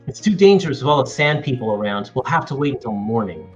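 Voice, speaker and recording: A man's voice: medium pitch, expressive, fast talker, highs cut off, over music, close to an everyday voice. A man playing a frustrated, earnest young farm boy in an amateur table read of a film script.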